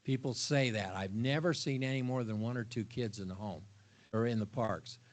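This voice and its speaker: A man's voice: medium pitch, moderate pace. An older man, a grandfather and HOA board member, speaking frankly to homeowners at a town hall meeting, with stammering restarts.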